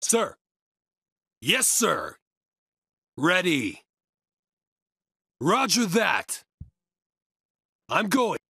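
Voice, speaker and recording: Male actor as game soldier, obedient, clipped military barks, raspy, very animated pitch. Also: drawn-out words, long pauses, dry recording, clean studio sound, exaggerated voice. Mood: dutiful, ready. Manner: clipped, dutiful, loud. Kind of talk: short military voice-acting barks.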